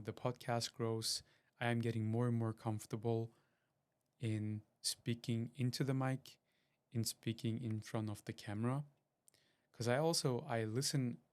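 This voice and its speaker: A man's voice: on the low side, darkish tone. A man from Switzerland, hosting a podcast and chatting in a rambling way with lots of fillers and restarts. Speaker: podcast host. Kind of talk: casual solo podcast intro.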